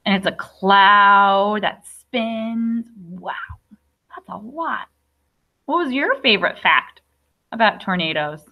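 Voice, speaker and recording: A woman's voice: medium pitch, lively intonation, slow pace, dull audio. A young adult woman teacher reading a picture book aloud to young children, explaining simply with questions and asides. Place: classroom.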